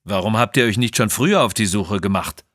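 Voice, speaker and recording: A man plays a commanding adventurer hero from a children's audio adventure. He sounds matter-of-fact and protective, with a husky edge to the voice. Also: lowish voice, a little fast, a bit bright, no room sound, clean studio sound. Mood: questioning.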